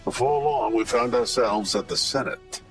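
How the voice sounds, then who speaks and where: An actor playing a hard-boiled, wisecracking Roman private eye, wry and tough-talking, in an old-time radio detective parody. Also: male voice, medium pitch, normal speed, soft background music, close to an everyday voice.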